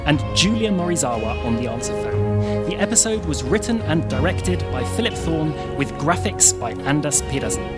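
A man reading scripted end credits as an announcer. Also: on the high side. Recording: loud music behind.

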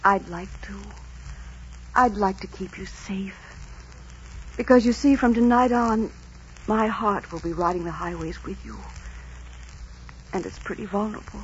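An actress playing a proud, romantic young gentlewoman in 1940s radio style, speaking formally and with earnest drama. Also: mid-range voice, fairly even intonation, measured pace, pauses often, slight background noise, natural voice. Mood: earnest, loving. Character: spirited young high-born woman, romantic and proud. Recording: dull 1940s radio recording with music.

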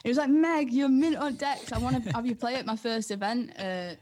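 A woman's voice: a little high, fairly quick, darkish tone. A young woman with a Geordie accent, talking casually as an interviewed DJ and telling anecdotes in informal, slangy speech. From North East England. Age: young adult. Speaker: DJ and music producer being interviewed. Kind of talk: casual chat.

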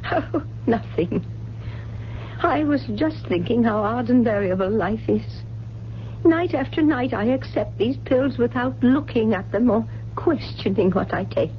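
An actress playing a wealthy, fragile older widow, grieving and forgetful, with genteel, theatrical delivery in a 1970s radio drama. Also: female voice, on the high side, animated, a little slow. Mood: resigned, wistful. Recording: dull, noisy, humming old radio recording.

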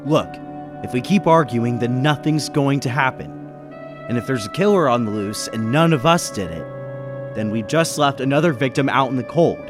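A man's voice: mid-range voice, expressive, normal speed, natural voice. Man acting a level-headed radio mystery narrator over music. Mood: calm, reasoning. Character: level-headed male businessman narrator in a 1938 murder mystery. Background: music behind the voice.

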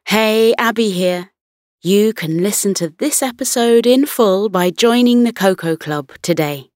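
Female narrator for kids' bedtime tales, gentle and very unhurried, close dry sound over music. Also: medium pitch, no room sound. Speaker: podcast host and bedtime story narrator. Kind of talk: scripted children's bedtime story narration.

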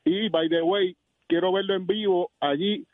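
A man's voice: sits high, fairly even intonation, moderate pace, crowd noise. A man in his fifties from the Dominican Republic, raised in Puerto Rico, chatting casually as a sports caller over the phone. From born in Dominican Republic, raised in Puerto Rico. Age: 50s. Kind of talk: casual sports call-in chat. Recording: tinny, dry phone line.

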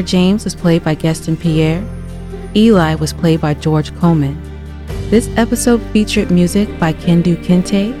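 A woman announcer reads scripted credits for an audio drama. Her voice sits fairly low, and music plays clearly underneath.

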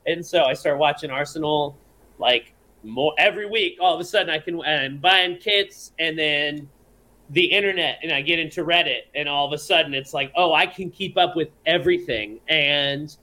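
A man's voice: fairly high pitch, normal speed, pushed and bright, low-quality recording. A man, a guest on a podcast, talking in a rambling, enthusiastic way and swearing freely in casual chat. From American South.

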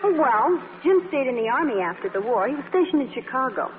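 A tinny, echoing old radio recording of a young small-town woman. Her high, girlish voice moves up and down freely, earnest and wistful. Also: sing-song, brisk, a little hiss or hum. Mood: confiding. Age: young adult. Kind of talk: 1940s radio acting.

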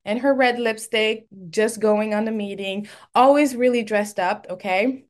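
A woman's voice: a little high, steady tone, unhurried. A woman from Senegal whose first language is French, hosting a solo podcast episode in a chatty, laughing way. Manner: chatty and warm, laughs often. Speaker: podcast host.

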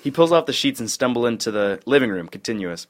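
A man's voice: medium pitch, expressive, fast talker. A man reading stage directions as scripted narration at a comedy table read.

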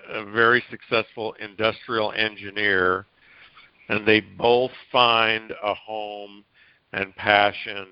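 A man's voice: lowish voice, clear, resonant tone, no echo. A man hosting an interview, encouraging his guests and coaching the listeners over a video call. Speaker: podcast host, interviewer. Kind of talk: casual interview chat. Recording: dry, dull lo-fi call audio.

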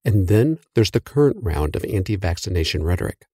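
Low-voiced man hosting a podcast. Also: lively intonation, fairly quick, warm tone, slightly rough, no echo, studio quality. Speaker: podcast host. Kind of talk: scripted narration and interviewing. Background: music bed.

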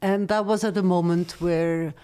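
Middle-aged woman, low voice. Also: steady tone, dark tone, clear, resonant tone. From Belgium. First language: not English. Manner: measured, reflective storytelling. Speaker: guest, former studio executive. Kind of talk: interview conversation.

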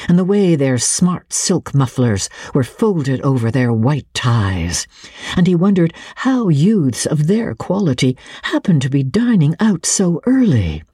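A woman narrates literary fiction with dramatic expression. Her voice is very husky and mellow, and her pitch moves about freely in a lively reading. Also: a little slow, no echo, clean studio sound. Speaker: audiobook reader.

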